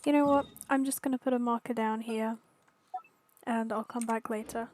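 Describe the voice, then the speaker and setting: A woman's voice: on the high side, steady tone, moderate pace, darkish tone. A woman streaming a video game, chatting informally and thinking aloud as she plays. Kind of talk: casual gameplay commentary.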